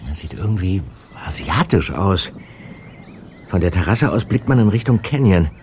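An actor playing a calm, observant teenage detective in a radio play. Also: male voice, deep voice, normal speed, slight background noise. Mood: watchful.

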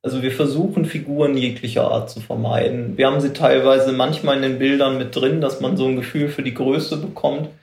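A man talks as a production expert, explaining his work informally. His voice is mid-pitched with a lot of creak. He is hesitant and corrects himself, and the room sounds echoey. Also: steady tone, fairly quick, few pauses, a little mellow.

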